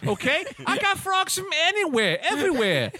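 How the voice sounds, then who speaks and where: A man playing a loud, profane frog collector from the Bronx with a New York accent, just out of a foreign prison, in an improvised comedy sketch. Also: wildly swooping pitch, normal speed, full character voice. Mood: boastful. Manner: loud, profane, rambling, high-pitched.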